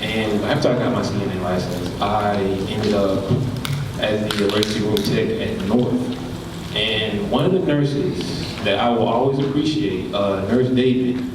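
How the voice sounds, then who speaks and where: Loud young Southern man, echoey hall. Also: lowish voice. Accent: Southern American. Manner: loud, quick, friendly. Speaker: ER tech and nursing student. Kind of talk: personal story at a panel. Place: large conference hall. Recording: echoey hall, mic far off, noise.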